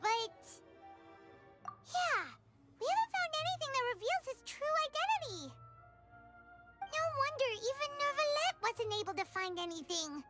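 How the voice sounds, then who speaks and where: A tiny fairy sidekick from a video game, childlike and squeaky. The pitch is extremely high and swings up and down in an animated, excitable way. Music plays clearly behind the voice. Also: female voice, normal speed, long pauses. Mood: determined. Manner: expressive, refers to herself by name. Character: small excitable girlish fairy companion playing detective.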